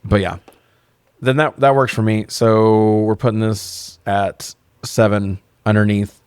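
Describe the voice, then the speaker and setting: Low-pitched man chatting casually, close and dry. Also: level tone, a little slow, clear, resonant tone, no room sound. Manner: opinionated, profane, joking. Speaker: podcast co-host. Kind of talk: casual film review chat.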